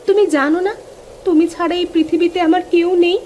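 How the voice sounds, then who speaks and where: A woman's voice: very high-pitched, fairly even intonation, brisk, darkish tone, a little hiss or hum. A woman voicing a devoted, anxious farmer's wife in a village cartoon. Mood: tender. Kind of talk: cartoon dialogue acting.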